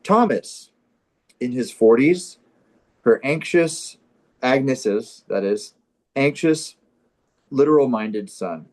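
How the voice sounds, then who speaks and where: Man, deadpan comedy performer, casual banter and script reading, average pitch. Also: takes it slowly, long pauses.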